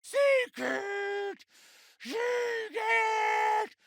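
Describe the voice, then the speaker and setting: A woman's voice: very high voice, big pitch swings, drawn-out words, vocal fry, fairly bright tone, no room sound, raised voice, clean studio sound, full character voice. A woman playing an agitated, wailing spirit in a video game, with an urgent, ominous, chanting manner. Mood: frantic urging. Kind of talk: video game character acting.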